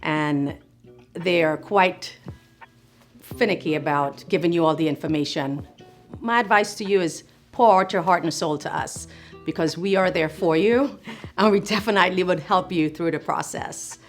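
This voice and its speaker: Middle-aged physician assistant from Trinidad and Tobago, low-pitched, reflective and encouraging, over faint music. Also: female voice, moderate pace. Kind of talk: speaking to camera about her work.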